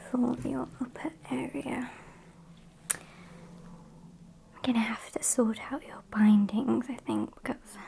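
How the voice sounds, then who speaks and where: A woman's voice: a little high, slight background noise. Whispering British woman as a sassy vampire kidnapper. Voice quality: breathy whisper. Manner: unhurried, chatty, deadpan. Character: sassy female vampire kidnapper, dryly menacing yet polite. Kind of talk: whispered ASMR roleplay.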